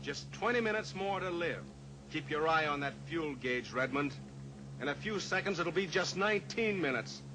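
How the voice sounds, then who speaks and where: Actor as steely heroic pilot, high-ish male voice, noisy. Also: animated, moderate pace, natural voice. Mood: grim, pressing. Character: steely heroic cowboy pilot, coolly pressuring a captive killer. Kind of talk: old-time radio drama acting. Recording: noisy old radio recording, engine drone.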